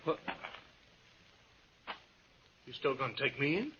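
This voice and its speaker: An American actor playing a wily, reluctant outlaw prisoner with folksy speech in a 1950s radio western. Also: male voice, medium pitch, very animated, takes it slowly, sharp tone, full character voice. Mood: reluctant, resigned. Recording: muffled, noisy old radio recording.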